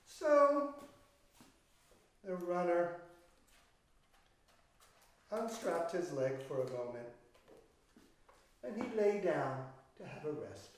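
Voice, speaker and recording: Guy reading kids a fairy tale, really echoey and a bit far from the mic, slow and theatrical. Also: high-pitched, sing-song, slight background noise. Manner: theatrical, deliberate pauses and emphatic repetition. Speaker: children's storyteller. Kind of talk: animated storytelling of a fairy tale. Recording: echoey room, distant microphone.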